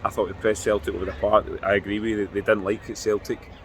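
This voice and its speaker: A Scottish man co-hosting a football podcast outdoors, talking in a measured, thoughtful way. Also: fairly low pitch, little pitch movement, fairly bright tone, slight background noise. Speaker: podcast co-host. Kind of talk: post-match fan reaction chat.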